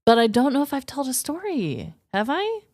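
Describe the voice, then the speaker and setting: A woman's voice: high voice, very animated, normal speed, darkish tone, no echo, clean studio sound. An American woman co-hosting a podcast, moving between casual banter and scripted comedic storytelling. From United States. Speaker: podcast co-host.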